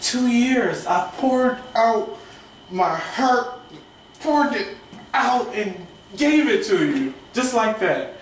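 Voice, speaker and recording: A young actor playing a hurt boyfriend in an amateur short film, pleading haltingly against a breakup. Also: medium pitch, expressive. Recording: very echoey room, distant mic, faint noise.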